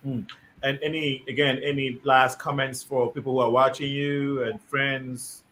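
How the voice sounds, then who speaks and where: A man hosting a live discussion show, reading out viewer comments and guiding his guests in a measured, deliberate way. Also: medium pitch, little pitch movement, fairly bright tone, slight background noise, poor audio. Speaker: host.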